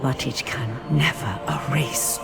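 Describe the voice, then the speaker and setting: An actress playing a stern, bitter avenger in a video game, cold, accusing and deliberate. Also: female voice, rumbling low, vocal fry, heavily acted. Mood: cold contempt. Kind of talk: dramatic video game cutscene acting. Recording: loud music over the voice.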